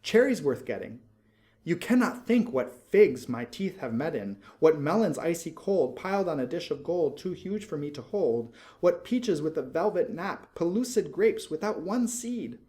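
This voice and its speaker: Man voicing an eager young maiden, raised pitch. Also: sing-song, normal speed, warm tone. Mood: rapturous, soothing. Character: curious, eager, rapturous young maiden, tempted sister.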